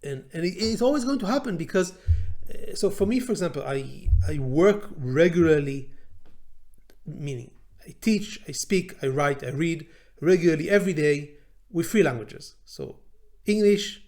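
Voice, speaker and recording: A man's voice: fairly high pitch, lively intonation, normal speed, darkish tone. A man in his forties whose native language is Hebrew, a language teacher talking informally with many fillers and restarts. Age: 40s. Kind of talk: informal talk to camera.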